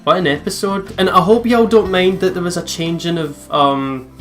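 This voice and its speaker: A man's voice: sits high, normal speed, a bit bright. A man hosting a let's play, chatting casually and informally over a game. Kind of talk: casual chat over gameplay. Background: music.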